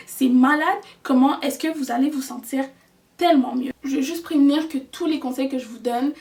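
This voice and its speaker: A woman's voice: high voice, darkish tone, far from the mic. A young woman giving earnest, chatty life advice in a vlog, slipping in English words. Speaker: vlogger.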